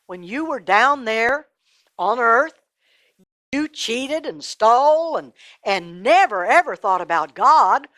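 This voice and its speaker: A woman's voice: fairly high pitch, expressive, slow, fairly bright tone, no room sound. A woman voicing a firm, matter-of-fact patriarch who admonishes, in a spoken Bible story. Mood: stern, admonishing.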